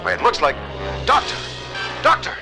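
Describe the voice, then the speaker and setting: An actor playing a capable, wry ship's crewman with brisk radio-operator delivery, in a vintage cartoon adventure style. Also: close to an everyday voice. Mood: alarmed. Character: capable, wry male crewman on a research ship. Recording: music playing behind the voice.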